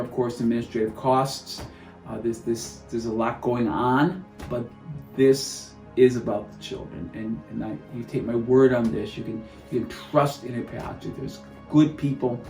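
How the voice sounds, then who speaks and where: Male appeal speaker, mid-pitched, earnest and halting, echoey room with faint music behind. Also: a little mellow. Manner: halting, earnest, repeats words. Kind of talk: appeal to donors. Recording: roomy echo.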